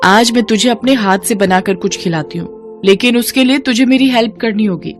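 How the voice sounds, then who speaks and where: A woman's voice: a little high, moderate pace, voice pushed up, close to an everyday voice. A woman voicing a wealthy, bubbly college girl in a cartoon story, chatting in a chummy, breezy way over music.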